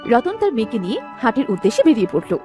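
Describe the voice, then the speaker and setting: A woman's voice: a little high, sing-song, very fast, over music. A woman reading scripted narration for a cartoon fairy tale. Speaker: story narrator.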